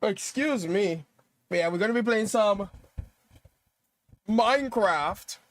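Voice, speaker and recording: A sniffly young man from Trinidad and Tobago runs his own gaming livestream, chatting casually into a desk mic. His voice sits high for a man, and he rambles and hums to himself while getting set up. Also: expressive, takes it slowly. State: sniffly from dust.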